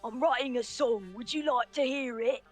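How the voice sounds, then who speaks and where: A woman's voice: a little high, normal speed, faint music behind, lightly acted. A woman playing a shy, earnest, slightly awkward young songwriter in a fan fiction roleplay. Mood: shy, hopeful.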